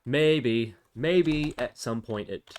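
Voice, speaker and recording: A man with a medium-pitched voice hosts a programming tutorial. He speaks casually, reasoning aloud and explaining things step by step, as in an informal screen recording. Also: very slow.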